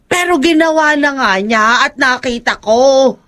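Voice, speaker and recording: A performer playing a calming adult witness who explains and reasons in a horror audio drama. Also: sits high, measured pace. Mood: explaining. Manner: conciliatory, explaining.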